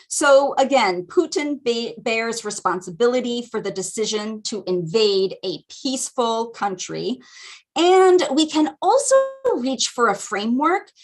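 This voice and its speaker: Woman, American accent, somewhat high voice, academic lecture, thoughtful explaining, frequent ums, video call audio. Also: expressive, measured pace. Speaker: guest lecturer. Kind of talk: academic lecture at a community teach-in.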